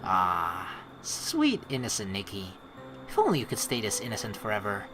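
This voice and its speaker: A woman's voice: rumbling low, wildly swooping pitch, moderate pace, over music, low-quality recording. A woman hosting a let's play, switching between reading game narration aloud and playful, teasing commentary.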